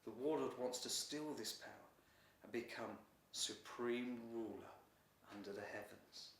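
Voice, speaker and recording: A man reading a children's story in an expressive, deliberate narrating style. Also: mid-range voice, a little hiss or hum. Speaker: author reading own story. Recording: roomy echo, distant mic.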